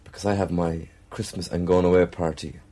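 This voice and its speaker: A man's voice: deep voice, level tone, a little fast, dark tone, a little hiss or hum. A man in his thirties talking in a dry, joking, tired way. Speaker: husband moving house. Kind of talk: casual chat.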